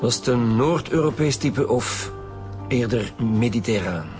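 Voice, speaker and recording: An actor playing a dry, sceptical police detective with a Flemish accent in a naturalistic radio drama. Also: male voice, medium pitch, lively intonation, measured pace, music in the background, lightly acted. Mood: businesslike. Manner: matter-of-fact, blunt.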